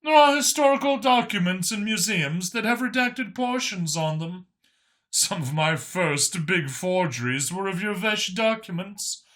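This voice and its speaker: Man playing a measured, aloof alien forger. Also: high voice, a bit bright, voice pushed up. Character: aloof, deliberate alien art thief and forger.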